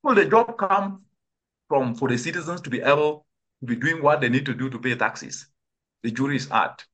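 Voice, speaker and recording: A man's voice: mid-range voice, animated, a little fast, a bit bright. A man from Cameroon, a climate expert, answering interview questions earnestly and with rhetorical questions. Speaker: guest, climate change expert.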